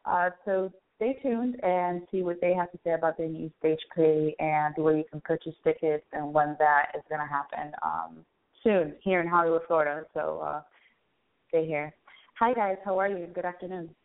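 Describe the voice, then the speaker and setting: A woman's voice: low-pitched, steady tone, moderate pace. Woman radio host, tinny phone-like sound. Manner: chatty, conversational, thinking aloud. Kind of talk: casual live radio interview hosting. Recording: tinny phone-like band, roomy echo.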